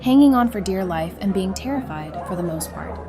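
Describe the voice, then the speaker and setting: Audio drama role: woman plays playful, self-assured young woman, teasing delivery, mid pitch, over a busy party. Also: lively intonation, brisk, natural voice. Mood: candid, warm. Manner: teasing, wry. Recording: music and party chatter behind. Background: music and crowd chatter.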